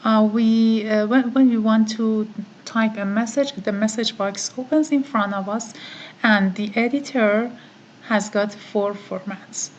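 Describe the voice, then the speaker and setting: A woman whose first language is Farsi, giving a step-by-step online course tutorial, talking methodically and pausing on "uh" often. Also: on the high side, steady tone, muffled recording. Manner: slow, methodical, with frequent "uh" pauses. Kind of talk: screen-recorded software tutorial.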